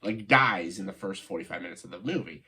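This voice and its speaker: A man with a stuffed-up, nasal voice from a cold, giving casual, opinionated video commentary in a halting way, stressing key words and often saying "like". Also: sits low, sharp tone, slightly rough. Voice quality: congested, nasal voice. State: congested, stuffed-up nose after a cold. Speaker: video host, film commentator.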